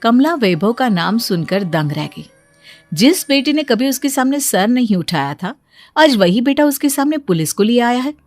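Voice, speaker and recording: A woman's voice: on the high side, sing-song, normal speed, faint music behind. A woman reading scripted narration for a cartoon bedtime story as its storyteller.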